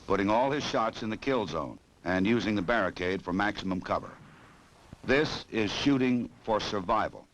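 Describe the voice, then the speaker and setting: An American man narrating a 1960s instructional film in a formal, measured, authoritative way, on an old film soundtrack. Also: on the low side, fairly bright tone, slight background noise, poor audio.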